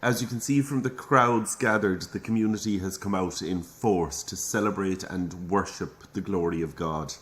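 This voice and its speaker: Earnest irish parish priest, deep voice, devout and a bit defensive, radio play. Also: male voice, moderate pace, few pauses, fairly bright tone. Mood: reverent. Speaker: actor in audio drama. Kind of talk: naturalistic audio drama acting.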